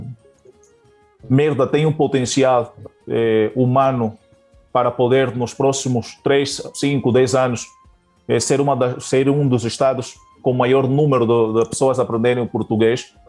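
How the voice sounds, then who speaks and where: A man's voice: medium pitch, fairly even intonation, measured pace, a little mellow, faint music behind. A man from Angola, a Portuguese teacher, answering interview questions hesitantly with many fillers and restarts.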